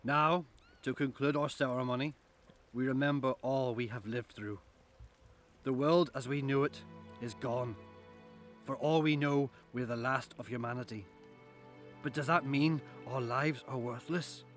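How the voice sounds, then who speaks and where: Casting: male actor as earnest Northern Irish headmaster, middle-aged, mid-pitched, oratorical, post-apocalyptic audio drama, music underneath. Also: unhurried, long pauses, a bit bright, lightly acted. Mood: solemn.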